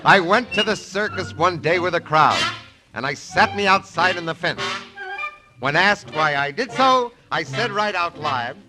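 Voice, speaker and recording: A man's voice: high voice, animated, measured pace, rough recording. A man playing a vain, wisecracking middle-aged father in a 1940s radio operetta, with self-satisfied joking patter. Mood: boastful. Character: boastful wisecracking long-absent father, breezy vain clown. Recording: vintage 1940s radio broadcast, music behind.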